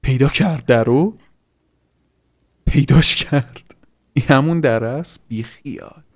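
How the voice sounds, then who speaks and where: A man gives casual reaction commentary as a host, swearing informally and dropping in English slang. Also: medium pitch.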